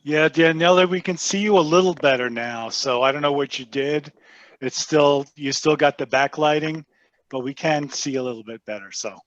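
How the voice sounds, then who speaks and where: A man with a slightly high voice and a helpful, plain manner speaks up during a club meeting. His audio is dull and very dry, as from a basic video call setup. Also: moderate pace, fairly bright tone, no room sound. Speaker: club member.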